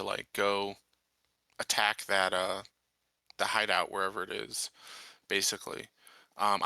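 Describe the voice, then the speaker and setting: A man chatting casually as a tabletop game player, in a tentative, halting way. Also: lowish voice, bright, forceful tone, clean tone, no echo. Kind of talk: casual chat.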